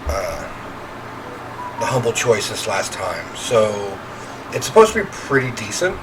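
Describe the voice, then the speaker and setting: Animated man on a noisy gaming recording. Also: on the low side, measured pace. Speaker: gaming host playing a horror visual novel. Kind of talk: casual let's play commentary with read-aloud narration. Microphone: standalone microphone at a desk.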